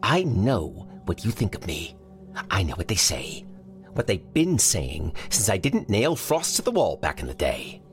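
Man doing a rabbit police chief: gruff, tired, low-voiced, faint music under. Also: huge pitch swings, measured pace, exaggerated voice. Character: tough middle-aged male rabbit police chief.

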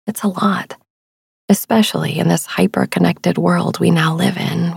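Breathy, hushed woman guiding sleep. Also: lowish voice, no room sound, clean studio sound. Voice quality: breathy, hushed, often near a whisper. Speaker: podcast host and sleep meditation guide. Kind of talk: soft, soothing sleep podcast narration.

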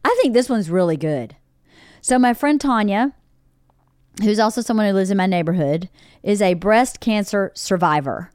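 A middle-aged woman hosting a podcast in casual chat, with a big loud voice and frequent laughs. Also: medium pitch, normal speed, dry recording, studio quality. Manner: loud, animated, laughs often. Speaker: podcast host. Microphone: studio podcast mic.

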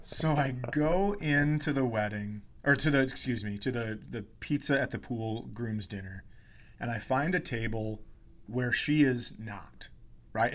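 A man who hosts a podcast as a comedian, telling stories casually with swearing, mock indignation and quoted dialogue. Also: mid-range voice.

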